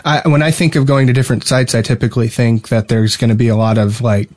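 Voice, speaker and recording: A man's voice: medium pitch, steady tone, fast talker, a little mellow. A man co-hosting a casual gaming podcast, chatting in a hesitant, rambling, conversational way. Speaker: podcast co-host.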